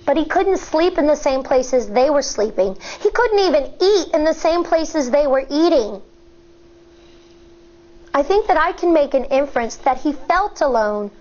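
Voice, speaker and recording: A woman teacher reading a picture book aloud to children, expressive and deliberate, stepping aside at times to explain. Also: sits high. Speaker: elementary school teacher. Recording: dull, lo-fi sound.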